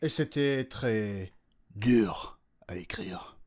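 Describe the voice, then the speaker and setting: A man's voice: lowish voice, lively intonation, extremely slow, a little mellow. Casual, rambling man making podcast announcements. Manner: informal, rambling, self-deprecating, slangy. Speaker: podcast creator and host.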